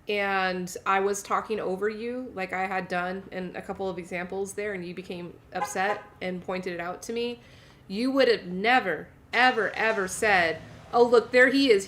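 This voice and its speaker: A woman's voice: mid-range voice, fairly bright tone, a little hiss or hum. A woman host in a casual group chat, making her point with emphatic repetition. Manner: emphatic, repeats points for weight.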